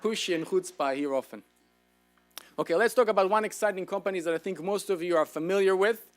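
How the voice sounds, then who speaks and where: A man's voice: sits high, quick pace, soft background music. A man with an Israeli accent, lecturing an audience with enthusiasm and asking them questions. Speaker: speaker.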